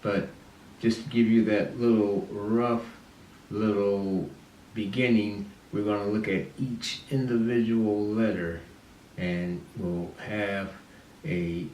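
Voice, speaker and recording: A man hosting a religious teaching series, explaining in a deliberate way with short, halting phrases. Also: on the low side, a little mellow. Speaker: host and teacher of a Bible and Hebrew series. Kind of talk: religious lesson on the Hebrew alphabet. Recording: roomy, distant mic with faint noise.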